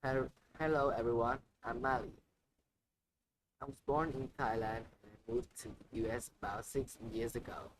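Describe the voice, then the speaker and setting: An eager finance guest, acted by a man, halting and prone to restarts. The pitch stays in the ordinary middle range. A steady ambient noise sits behind the voice. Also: lightly acted. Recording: noisy ambient background.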